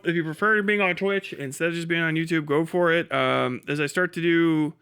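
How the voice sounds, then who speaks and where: Bright, clear-voiced man chatting on livestream. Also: on the high side. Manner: sweary, dismissive, sardonic. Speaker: livestream host. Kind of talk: casual livestream chat.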